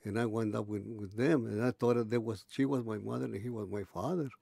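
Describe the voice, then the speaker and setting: A man in his eighties recalling his early life in an oral history interview, halting and restarting as he searches for words. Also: on the low side, steady tone, vocal fry, warm tone, no room sound, studio quality. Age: 80s. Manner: halting, many restarts and pauses.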